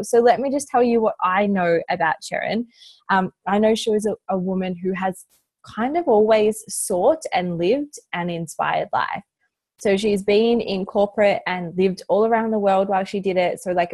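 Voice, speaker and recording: A woman hosts a podcast, talking in a loose, chatty way full of little fillers. Her voice sits at a middle pitch and the sound is close and dry, with no room around it. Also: dry recording. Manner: warm chatty, many fillers like "like" and "you know". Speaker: podcast host. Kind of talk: casual interview chat.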